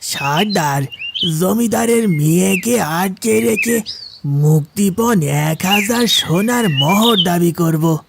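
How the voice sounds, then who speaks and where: A woman's voice: lowish voice, animated, slow, vocal fry, heavy background noise, heavily acted. A woman playing an eager, nervous bandit henchman in a moral-story cartoon, deferential to the boss. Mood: scheming, uneasy. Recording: loud music over the voice.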